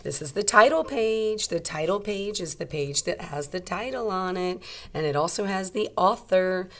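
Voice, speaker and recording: A woman's voice: fairly low pitch, animated, normal speed. A woman teacher leading a read-aloud lesson for young children, explaining simply and asking them questions.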